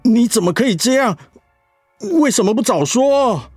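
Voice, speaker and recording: An actor playing a devoted, worried husband in a radio drama, earnest, affectionate and soothing. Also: male voice, very high-pitched, animated, normal speed, no echo, soft background music. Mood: distressed. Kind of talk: Mandarin radio drama acting.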